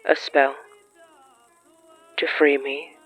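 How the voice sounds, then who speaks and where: A narrator voicing a gentle, wistful young man in a tentative, intimate way, for an audio drama. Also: mid-range voice, level tone, extremely slow, breathy edge, soft background music, exaggerated voice. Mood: wistful, hopeful.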